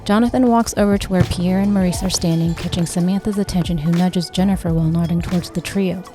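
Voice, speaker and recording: A woman's voice: on the low side, fairly even intonation, quick pace, over music. A woman reading a story aloud as scripted narration, in the role of narrator. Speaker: audiobook narrator and actor.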